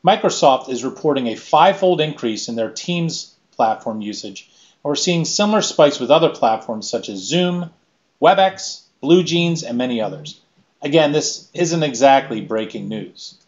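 A middle-aged man giving a scripted keynote presentation in a measured, list-by-list delivery, recorded on a webcam in a small room. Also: mid-range voice, lively intonation, lo-fi sound. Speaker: keynote speaker.

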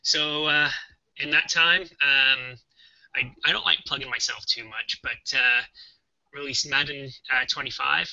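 A man's voice: medium pitch, steady tone, measured pace, gravelly, bright, forceful tone, no echo, dull audio. A young man, a game developer, co-hosting a casual live stream, talking hesitantly with many ums, on a webcam mic.

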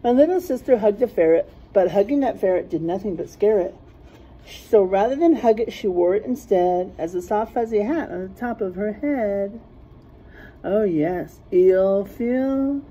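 Expressive, slightly husky woman reading to children. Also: mid-range voice, poor audio. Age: middle-aged. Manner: teacherly, pauses to point out rhyming word pairs. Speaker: storyteller reading a children's book aloud. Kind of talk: animated rhyming picture-book read-aloud. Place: small classroom, indoors.

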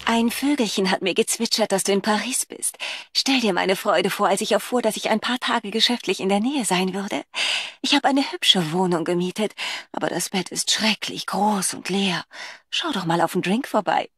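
A woman's voice: mid-range voice, moderate pace, a bit bright, breathy edge. A woman voicing a seductive, self-assured secret agent, flirtatious and knowing, in a German video game. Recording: music behind the voice.

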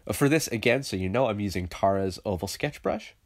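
Deep-voiced man with a stuffy cold. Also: expressive, brisk. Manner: thinking aloud, loose and conversational with many fillers. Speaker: illustrator teaching digital painting. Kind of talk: relaxed tutorial voice-over while painting.

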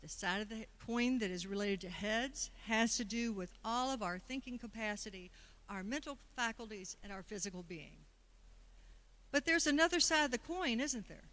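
Bright-voiced woman giving a Sunday talk. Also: on the high side, expressive, normal speed. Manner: conversational, asks the congregation questions. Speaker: speaker at a Sunday service. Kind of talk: sermon talk.

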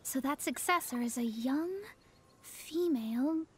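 Proud young lady astrologer for a game, high pitched, bossy and lecturing. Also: unhurried, slight background noise. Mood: instructing. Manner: imperious, lofty, formal lecturing. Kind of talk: video game cutscene acting.

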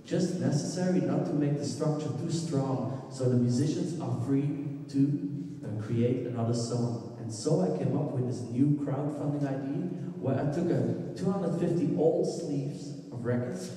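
A man in his thirties from Belgium talks to an audience in accented English, stumbling and restarting as he searches for words. His voice is dark and mellow. It rings through a large reverberant hall, picked up from a distance. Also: medium pitch, unhurried. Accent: Belgian Dutch. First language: Dutch. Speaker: musician giving a talk. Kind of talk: presentation talk on stage. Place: large dark auditorium stage. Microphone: handheld microphone. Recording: echoey hall, recorded from afar.

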